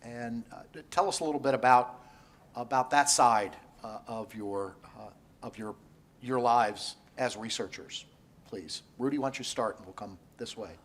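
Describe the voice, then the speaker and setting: A man of middle pitch moderates a research panel. He asks his questions hesitantly and softly, trailing off into fillers. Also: normal speed. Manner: hesitant, soft-spoken prompting.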